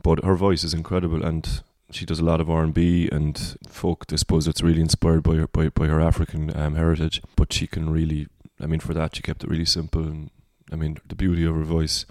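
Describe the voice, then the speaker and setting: Deep, flat-voiced Cork Irish man. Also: brisk, hardly any pauses, no room sound. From Cork, Ireland. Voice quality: baritone. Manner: reflective, rambling. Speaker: singer-songwriter guest. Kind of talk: casual podcast conversation.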